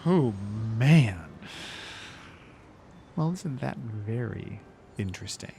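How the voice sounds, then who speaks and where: A man's voice: mid-range voice, animated, slow, gravelly, warm tone, slight background noise. A man chatting casually as a player in a tabletop game. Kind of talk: casual improvised game chat.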